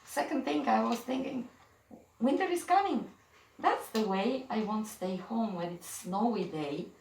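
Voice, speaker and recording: A woman's voice: on the high side, animated, a little slow, creaky, a little mellow, slight background noise, rough recording. A woman in her sixties or older from Bulgaria, with a Bulgarian accent, speaking casually in an interview as an adult music student. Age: 60s or older.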